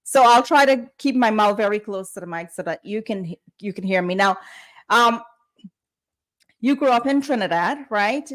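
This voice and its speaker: A woman's voice: medium pitch, expressive, moderate pace, a bit bright. A woman hosting a conversational interview. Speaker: interview host.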